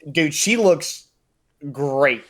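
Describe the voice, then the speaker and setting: A man's voice: on the high side, lively intonation, slow pace, fairly bright tone. American man in casual podcast chat. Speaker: podcast co-host. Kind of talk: casual opinionated pop-culture podcast chat.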